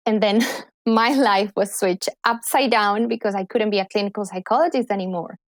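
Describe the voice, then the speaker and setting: A woman's voice: mid-range voice, normal speed, no echo. A woman from Colombia with a Colombian accent, a guest speaking enthusiastically in a casual podcast conversation. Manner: enthusiastic, animated. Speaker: guest, clinical psychologist and healer.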